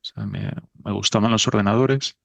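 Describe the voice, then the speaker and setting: A man's voice: on the low side, steady tone, moderate pace, creaky, no room sound. A middle-aged man from Spain, a podcast guest talking in a thoughtful, self-deprecating way. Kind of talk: casual conversation.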